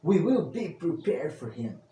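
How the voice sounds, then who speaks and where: A man's voice: on the high side, big pitch swings, measured pace, mellow. A man voicing a confident, playful boy adventurer in a storybook tale. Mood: cocky. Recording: roomy, distant mic with faint noise.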